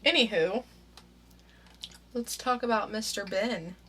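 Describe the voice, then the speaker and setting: A woman's voice: mid-range voice, takes it slowly, sharp tone, a little hiss or hum. A woman co-hosting a casual podcast, talking through news in a laid-back, dry way. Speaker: podcast co-host.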